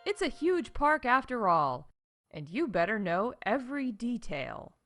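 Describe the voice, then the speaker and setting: A woman's voice: a little high, sing-song, a little slow, a bit bright, soft background music, heavily acted. A woman playing a chatty, wry theme park staff announcer in a scripted comedy podcast, emphatic and full of conversational asides. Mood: brisk, wry.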